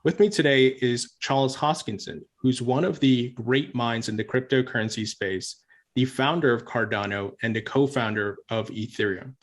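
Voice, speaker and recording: A man's voice: medium pitch, normal speed. Earnest male interview host. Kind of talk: interview hosting and ad read.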